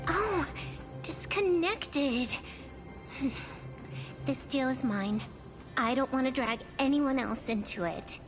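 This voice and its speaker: A woman's voice: sits high, slow, long pauses, music in the background. A woman voicing a stubborn, scrappy little girl in a video game, talking in a blunt, casual way. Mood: resolute, weary.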